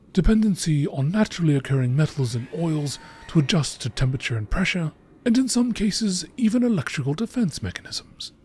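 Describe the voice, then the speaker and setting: A man reading scripted lore in a nature documentary narration style, with measured, deliberate phrasing. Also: fairly high pitch, darkish tone. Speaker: video creator reading game lore aloud. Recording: clearly noisy background.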